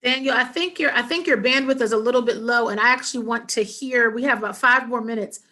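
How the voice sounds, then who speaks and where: A woman's voice: on the high side, fast talker, bright, forceful tone. A middle-aged woman hosting an online panel discussion from a room at home over a video call. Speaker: panel host. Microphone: computer mic on a video call.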